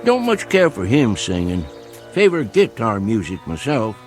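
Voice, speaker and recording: Casting: plain-spoken country coon hunter, stubborn, Southern rural drawl, expressive melody, faint music underneath. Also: male voice, lowish voice. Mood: curious. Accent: rural Southern American. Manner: homespun, unhurried, plain-spoken. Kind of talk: vintage television drama acting.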